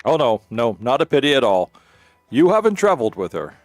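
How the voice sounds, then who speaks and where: A man's voice: medium pitch, sing-song, a little fast, no room sound. A man giving casual, joking gameplay commentary as a streamer, with a wry manner. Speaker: game streamer. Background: game music.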